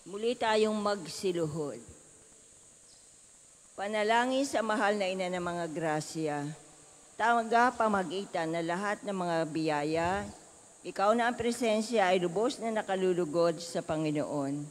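A person leading liturgical prayers in a steady, solemn way. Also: medium pitch, measured pace, in a large hall. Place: church.

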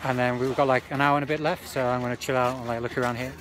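A man's voice: mid-range voice, flat delivery, racing. A young British man in his twenties chatting casually and informally to a phone held at arm's length outdoors. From Britain. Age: 20s. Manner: informal, says "like" often. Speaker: vlogger. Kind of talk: casual travel vlog chat. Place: outdoors, station and ski slope. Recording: noisy outdoor phone recording.

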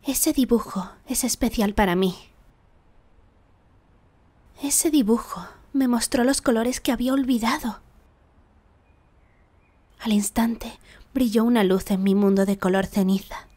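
A woman voicing a shy, earnest teenage schoolgirl in an anime fan dub, soft-spoken, hesitant and apologetic. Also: on the high side, unhurried, long pauses. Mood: earnest, pleading.

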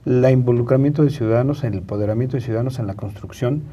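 A man in his fifties with a Mexican accent and a husky voice, explaining things as a guest in a studio interview. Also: medium pitch, fast, muffled recording. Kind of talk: explanatory panel interview talk. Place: TV studio, indoors, round table. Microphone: lapel mic.

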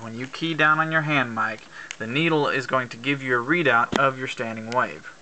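A man giving an informal hands-on tutorial, explaining things plainly step by step. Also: mid-range voice. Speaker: amateur instructor demonstrating CB radio use. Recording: faint background noise, clicks, amateur camera.

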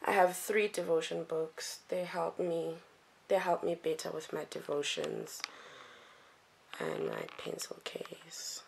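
A woman's voice: lowish voice, sing-song, slow, vocal fry. Chatty young woman vlogger. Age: young adult. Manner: chatty, giggly, mixes in isiXhosa words. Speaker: vlogger, university student. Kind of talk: casual vlog chat to camera. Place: student bedroom and outdoors. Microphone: camera held at arm's length. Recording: noisy handheld camera audio.

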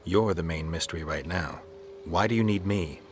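An actor playing a stoic, blunt monster hunter in a video game, terse and deadpan. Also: male voice, low-pitched, normal speed, slight background noise, lightly acted. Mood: dry, probing. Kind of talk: dramatic video game acting.

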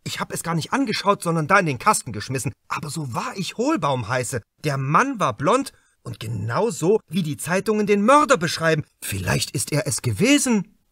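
An excitable theatre director from a crime audiobook, acted out by a male reader. He is greedy and grandly enthusiastic, exclaiming and repeating himself in a high, swooping voice. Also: moderate pace, breathy edge, no echo, clean studio sound, exaggerated voice. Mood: agitated.

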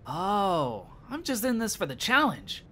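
A woman's voice: mid-range voice, wildly swooping pitch, unhurried, a bit bright, a little hiss or hum. A woman playing an eager, level-headed young rookie superhero in a comic dub, over music. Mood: casual. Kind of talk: acted comic dub dialogue. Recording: prominent music behind the voice.

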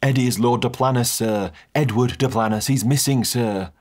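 A man's voice: medium pitch, normal speed, darkish tone, voice pushed up, natural voice. A man voicing a loyal butler who keeps his tone controlled and formal.

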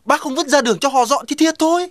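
A woman's voice: high-pitched, quick pace, slight background noise, close to an everyday voice. A woman voicing a grumpy, quarrelsome animal neighbour in a comic cartoon, scolding and blustering. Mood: defensive, scolding. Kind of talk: cartoon voice acting. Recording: loud music behind the voice.

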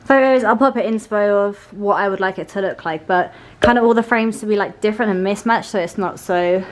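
A woman's voice: medium pitch, fairly quick. A woman co-hosting a casual house tour, chatting in an upbeat way and laughing often. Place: house, indoors. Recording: audible room noise.

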